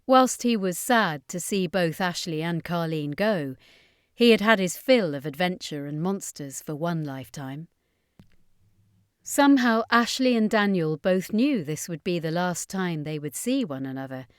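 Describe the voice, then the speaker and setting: A woman's voice: on the low side, clean tone, no room sound, studio quality. A woman reading a scripted fiction story aloud as its narrator.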